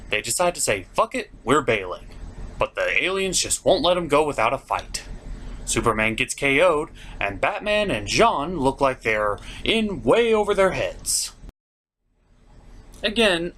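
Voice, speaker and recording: A man's voice: medium pitch, fairly bright tone, a little hiss or hum. A man giving a sarcastic comedic recap as a review host, with a sardonic, exasperated manner. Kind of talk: sarcastic episode recap commentary.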